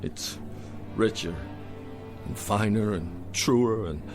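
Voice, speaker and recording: An actor playing a drunken, down-on-his-luck store Santa in a radio drama, sad and gentle, rambling with slurred courtesy. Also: on the low side, slow, music in the background. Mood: wounded, earnest.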